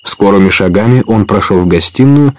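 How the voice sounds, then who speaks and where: Low male narrator reading an adventure tale, radio theatre style. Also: fairly quick, a little mellow, no echo. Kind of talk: scripted dramatic storytelling narration.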